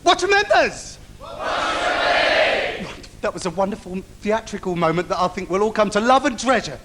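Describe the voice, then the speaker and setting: A man's voice: sits high, sing-song, fairly quick, fairly bright tone, a faint crowd. A male actor playing a cheeky, camp, bitchy comic sidekick in an adult stage pantomime, calling out to the audience. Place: theatre stage. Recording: noisy live theatre stage recording.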